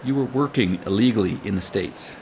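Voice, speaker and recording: A man's voice: lowish voice, a little fast, a little mellow, a little hiss or hum, natural voice. A man playing a smug, authoritative government agent in a radio drama. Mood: smug, stern.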